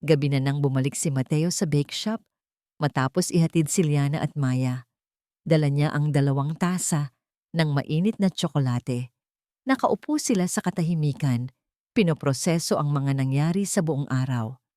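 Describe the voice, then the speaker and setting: A female storyteller narrates dramatic fiction in a low voice. The delivery is scripted, and the recording is close and dry. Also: moderate pace, dark tone, no echo.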